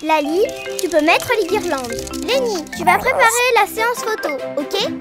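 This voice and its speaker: A woman's voice: very high-pitched, expressive, normal speed, over loud music. A woman voicing a peppy, childlike little girl in a French children's cartoon, with an exclamatory delivery. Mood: excited.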